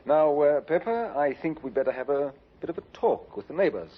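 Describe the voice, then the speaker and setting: An actor playing a brisk, methodical male police inspector in a 1950s radio drama, authoritative and probing. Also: fairly high pitch, very animated, over loud music. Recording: muffled old radio, faint noise.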